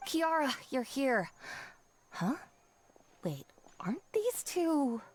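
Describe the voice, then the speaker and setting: An actress playing a friendly, polite and businesslike shopkeeper in a video game. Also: female voice, high-pitched, very animated, slow pace, a little hiss or hum, exaggerated voice. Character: friendly, businesslike female shopkeeper and clothes designer.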